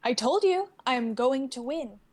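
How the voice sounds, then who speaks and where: Woman playing higher-voiced, defiant ice fighter. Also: animated, normal speed. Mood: confident. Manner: defiant, self-assured. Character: Sarah, defiant, confident female ice fighter. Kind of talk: dramatic script reading.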